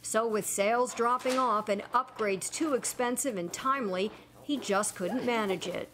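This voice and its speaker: A woman hosting a news program, narrating a report and then interviewing studio guests in an even, measured way. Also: a little high, a little hiss or hum. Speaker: news show host.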